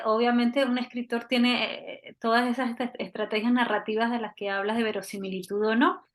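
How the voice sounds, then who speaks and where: A woman leads a literary conversation with reflective, academic phrasing. Her voice sits a little high for a woman, and she builds her questions step by step. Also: a bit bright. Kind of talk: conversational discussion.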